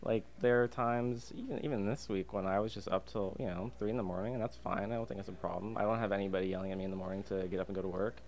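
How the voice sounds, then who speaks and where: A man's voice: fairly low pitch, fairly even intonation, very fast, hardly any pauses, a little mellow, poor audio. A young adult Canadian man chatting casually as a guest in a podcast interview. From Alberta, Canada. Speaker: podcast guest, freelance illustrator and designer. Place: podcast booth inside a diner. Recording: clear background ambient noise.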